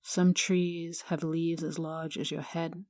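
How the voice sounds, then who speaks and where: A woman guiding a meditation in a hushed, soothing, measured manner with long pauses. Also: deep voice, flat delivery, no echo. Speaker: yoga teacher guiding a meditation. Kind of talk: guided meditation narration.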